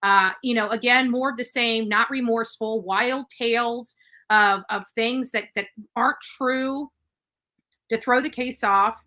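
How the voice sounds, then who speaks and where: A woman talks through a true crime case in a casual, chatty commentary, with fillers and restarts. Her voice is bright and sharp, and it sits a little high. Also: steady tone, measured pace.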